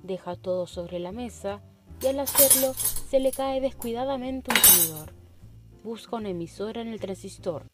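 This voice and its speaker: A young Chilean woman narrating stage directions for a student radio play in a measured, deliberate way. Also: a little high, loud music behind the voice. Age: young adult.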